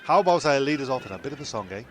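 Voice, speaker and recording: A man's voice: mid-range voice, animated, racing, noisy background, close to an everyday voice. A man playing an eager castaway in a comic radio play, rambling and cajoling. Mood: coaxing. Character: eager, coaxing male castaway singalong leader. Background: music.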